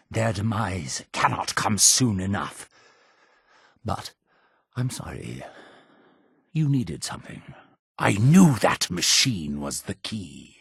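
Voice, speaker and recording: A man's voice: medium pitch, gravelly, slightly rough, dry recording, studio quality, exaggerated voice. An actor playing a paranoid, imperious male cult leader in a video game, with a theatrical, preachy and menacing delivery. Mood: stern, disdainful. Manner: theatrical, preachy, menacing, swinging from hushed to shouting. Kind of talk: dramatic video game voice acting.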